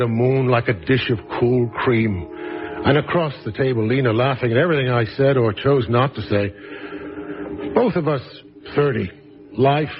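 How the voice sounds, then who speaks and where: A man's voice: mid-range voice, lightly acted. A man acting in a radio drama as a once-celebrated writer, telling his story in a florid, genial, theatrical manner. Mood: dramatic recounting. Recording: dull lo-fi radio recording with music behind.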